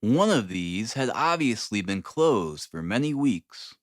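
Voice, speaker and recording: A man narrating a classic story as an audiobook, in a measured, deliberate, dramatic reading style. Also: lowish voice, sing-song, no room sound, clean studio sound. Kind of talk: dramatic scripted literary narration.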